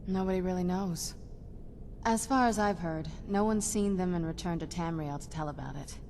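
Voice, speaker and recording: A woman playing a young female vampire in a video game, earnest and guarded, speaking in a measured, softly intense way. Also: on the low side, a little hiss or hum, natural voice. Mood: matter-of-fact, wary. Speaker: game companion character.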